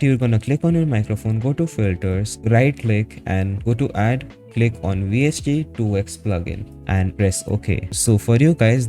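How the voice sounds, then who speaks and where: A man narrating a step-by-step software tutorial in a casual, instructional manner, as a streamer would. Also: lowish voice. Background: background music.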